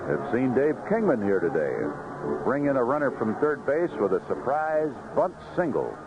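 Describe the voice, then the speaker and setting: Male baseball announcer, live play-by-play, very lively intonation, dull and noisy old radio sound. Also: mid-range voice, normal speed. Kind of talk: live play-by-play sports commentary. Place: outdoor ballpark broadcast booth. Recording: noisy muffled vintage 1970s radio broadcast.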